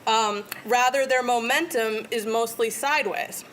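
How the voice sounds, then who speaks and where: Bright-voiced woman giving a lecture. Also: fairly high pitch, fairly even intonation, measured pace, a little hiss or hum. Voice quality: loud voice. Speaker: guest lecturer. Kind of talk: academic lecture. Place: lecture room. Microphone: podium microphone.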